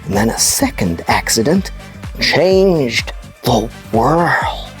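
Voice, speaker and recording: Very animated, unhurried woman playing a puppet news presenter. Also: low-pitched, creaky, faint music behind, heavily acted. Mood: intrigued storytelling. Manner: animated, storytelling, emphatic. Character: enthusiastic puppet news presenter explaining science to children. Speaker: kids' show host. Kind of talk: scripted children's educational presenting.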